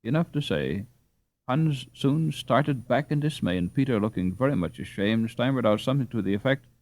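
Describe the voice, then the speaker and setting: A man reading a story aloud as an audiobook narrator, in an expressive scripted style. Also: mid-range voice, moderate pace.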